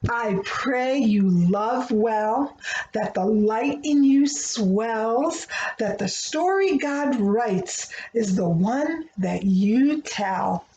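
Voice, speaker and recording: Female storybook reader, fairly high and lively pitch, deliberate expressive phrasing for small children, echoey room recording. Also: muffled recording. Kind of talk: read-aloud storytelling of a rhyming picture book. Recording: roomy echo, faint background noise.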